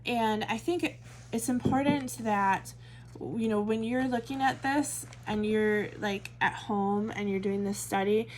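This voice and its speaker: A woman's voice: mid-range voice, unhurried, a little mellow, slight background noise, rough recording. Chatty Midwestern woman teaching Bible study. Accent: Midwestern American. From American Midwest. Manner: chatty, thinks aloud, many fillers and self-corrections. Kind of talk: casual teaching talk to camera.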